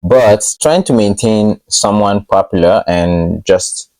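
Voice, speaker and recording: Low-voiced man presenting a tech tutorial. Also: takes it slowly, a little mellow, no room sound, poor audio. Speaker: host of a tech tutorial channel. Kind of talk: casual screen-share tutorial narration.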